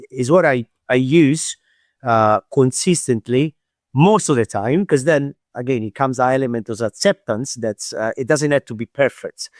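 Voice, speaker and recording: A man's voice: mid-range voice, darkish tone, dry recording, studio quality. A man speaking as a guest coach in a podcast interview, thoughtful and searching for his words with frequent hesitations. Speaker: performance mental coach, guest. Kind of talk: conversational interview.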